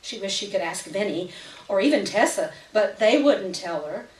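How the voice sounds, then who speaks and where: A woman's voice: medium pitch, expressive, normal speed. A woman giving a live dramatic reading of literary fiction as the story's narrator. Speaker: story reader. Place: live reading event before an audience. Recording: roomy, distant, hissy live recording.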